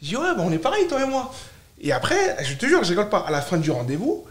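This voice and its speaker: A man with a fairly high voice talks in a roomy, echoing space. He is from France and chats informally as an interview guest, stressing points by repeating short phrases in bursts. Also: wildly swooping pitch, quick pace. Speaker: podcast guest, startup founder. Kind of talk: casual, animated conversation.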